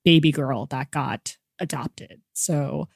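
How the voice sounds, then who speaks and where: A woman's voice: low-pitched, slow pace, vocal fry, darkish tone, dry recording, studio quality. A woman hosting a podcast, talking in a casual, conversational interview style. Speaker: podcast host.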